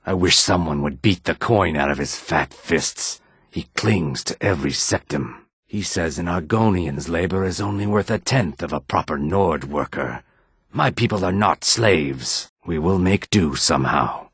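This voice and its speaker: An actor playing a weary, guarded male lizard-folk character in a fantasy video game, speaking in a measured, formal way with a raspy, rumbling voice. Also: expressive, a bit bright, dry recording, studio quality, exaggerated voice. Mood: bitter. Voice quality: raspy, husky, low rumbling.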